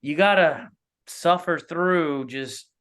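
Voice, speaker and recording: A man's voice: fairly high pitch, slow pace, a bit bright. A man speaking as an interview guest in casual, rambling conversation, often checking in with "you know". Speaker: interview guest, analog horror creator. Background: plucked guitar music.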